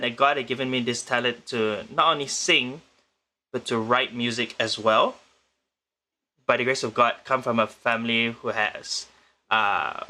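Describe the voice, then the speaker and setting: A young man from Penang, Malaysia, a guest musician answering interview questions in a measured, thoughtful way, often restarting his sentences. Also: mid-range voice, sharp tone. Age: young adult. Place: remote location in the Philippines.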